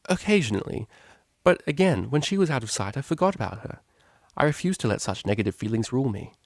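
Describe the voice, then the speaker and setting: A man voicing a prim, proud young mare, a cellist, who speaks in a formal, measured, articulate way in a storybook reading. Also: mid-range voice, big pitch swings, gravelly, no echo, clean studio sound. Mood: composed, dismissive.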